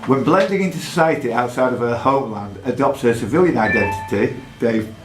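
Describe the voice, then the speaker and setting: A man hosting a live quiz in a pub, reading questions out deliberately and adding jokey asides. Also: medium pitch, over music. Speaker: quizmaster. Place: indoor pub. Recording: echoey pub room with background noise.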